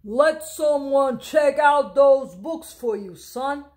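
A woman's voice: sits high, slow, darkish tone, pitched above the performer's own voice. A woman voicing a firm, authoritative policeman in a storybook, with a commanding, patronising manner.

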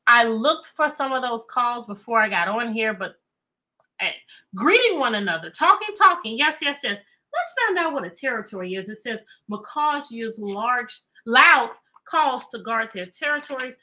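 A middle-aged American woman reading aloud to children as a teacher, animated and chatty, often asking questions. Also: fairly high pitch, moderate pace. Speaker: teacher and read-aloud host. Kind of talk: interactive children's read-aloud lesson. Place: indoor room with bookshelves.

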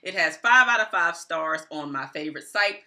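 A woman's voice: fairly low pitch, lively intonation, moderate pace, bright, forceful tone. A middle-aged woman giving a casual review to camera, stressing her points emphatically and repeating phrases. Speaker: book reviewer, vlogger. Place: small indoor room at home.

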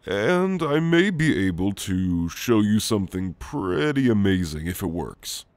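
Gentle, playful shadow demon played by a man for ASMR roleplay: low-pitched, unhurried, soft and reassuring. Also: sing-song, no room sound. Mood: tender, earnest. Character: gentle, teasing male sleep paralysis shadow demon. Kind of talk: ASMR roleplay, intimate and slow. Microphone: close, dry microphone.

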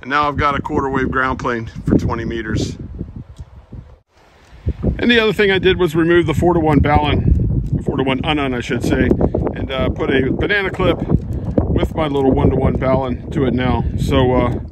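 A man's voice: mid-range voice, moderate pace. An older American man talking casually as he hosts an outdoor hobby vlog. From United States. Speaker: amateur radio operator and video host. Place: outdoors in a backyard garden. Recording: outdoor recording with clear background noise.

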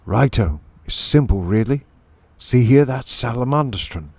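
A man voicing a boastful, bossy shrew chief in a storybook, brisk and commanding like a self-assured sea captain. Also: mid-range voice, lively intonation, gravelly, darkish tone, slightly rough, dry recording, full character voice. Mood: eager, explaining. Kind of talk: storybook character voice.

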